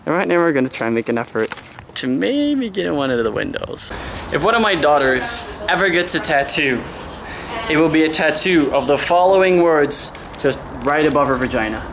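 A man's voice: fairly high pitch, animated, moderate pace, gravelly, a crowd in the background. A young man vlogging casually with a camera held at arm's length, joking and teasing himself as he talks. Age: young adult. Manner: joking, playful, self-mocking. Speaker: vlogger, team member. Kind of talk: casual handheld vlog chat. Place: outdoors by an old building, later indoors. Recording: noisy outdoor handheld audio.

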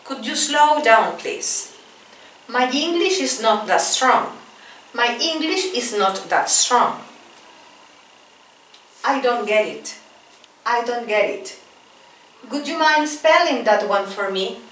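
A woman in her forties with a Spanish accent, teaching English phrases at a whiteboard and repeating each one slowly and clearly. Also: on the high side, animated, fairly bright tone, a little hiss or hum. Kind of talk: bilingual English lesson, drilling phrases. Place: small classroom in front of a whiteboard. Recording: roomy, distant camera audio.

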